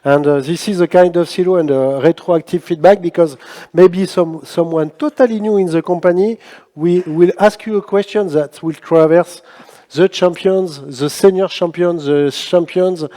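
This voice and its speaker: A man answering on a conference panel, his voice fairly high and mellow. He halts often and restarts his sentences. A long reverb hangs on every word, the sound of a big hall. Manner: halting, many restarts and fillers. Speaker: panel speaker.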